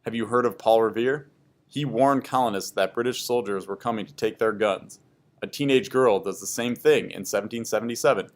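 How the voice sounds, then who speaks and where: A man's voice: fairly low pitch, fairly quick, slight background noise. Man narrating a history comic. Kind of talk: scripted educational history narration for a class.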